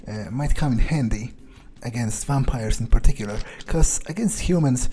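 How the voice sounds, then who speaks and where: A man role-playing a ruthless, brooding vampire in a game playthrough, thinking aloud in a halting, coldly wry way. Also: medium pitch, mellow, a little hiss or hum, lightly acted. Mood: wry musing. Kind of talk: in-character game playthrough narration.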